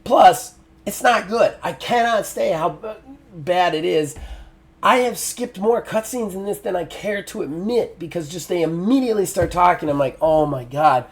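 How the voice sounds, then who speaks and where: A man's voice: sits high, animated, normal speed. A middle-aged man giving a frank spoken game review, often exasperated, with lots of fillers and restarts.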